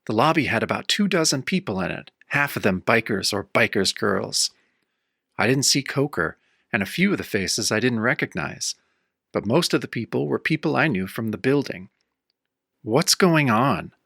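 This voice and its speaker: A man's voice: mid-range voice, expressive, moderate pace, gravelly, a bit bright, husky, no echo, clean studio sound, exaggerated voice. An actor playing a battered, wry tough-guy hero, narrating his own pulp horror tale in a radio-style audio drama. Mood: wry, weary. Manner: wry, hard-boiled first-person storytelling.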